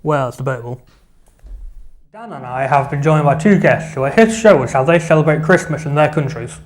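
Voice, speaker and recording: A man, co-hosting a late-night studio chat show and leading the questions in a relaxed, chatty way. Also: on the high side, fairly quick. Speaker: co-host.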